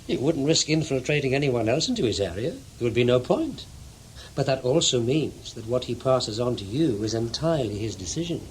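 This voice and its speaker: A male actor as a blunt intelligence investigator in a radio spy drama. He is dogged and insistent, with clipped, probing delivery at a middling pitch. Some noise sits behind the voice. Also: very animated, fairly quick, lightly acted, poor audio. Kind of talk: radio drama acting, dialogue and first-person narration.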